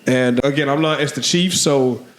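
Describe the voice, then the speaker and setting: A man co-hosting a podcast, slightly hoarse from allergies, rambling through casual sports talk with lots of "man" and "bro". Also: medium pitch, moderate pace, roomy sound. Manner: rambling, filler-heavy, says "man" and "bro". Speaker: podcast co-host.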